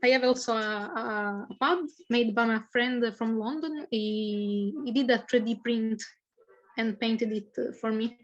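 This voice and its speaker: A woman talking casually and haltingly on a video call, with many hesitant pauses. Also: a little high, lo-fi sound. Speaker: participant in an online fan meeting. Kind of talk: casual chat, describing a costume.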